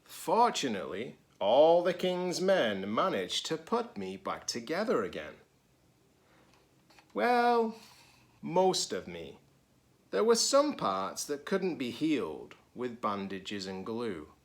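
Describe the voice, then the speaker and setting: A man voicing a wistful, anxious storybook egg who recounts his fall in an earnest, deliberate way. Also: medium pitch, big pitch swings, pitched above the performer's own voice. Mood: rueful, anxious.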